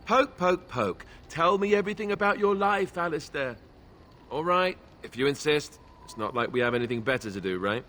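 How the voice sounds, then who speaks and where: An actor playing a wry, self-deprecating young warrior in a fantasy video game, with a joking, sarcastic manner. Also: male voice, on the high side, expressive, normal speed, pushed and bright. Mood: teasing.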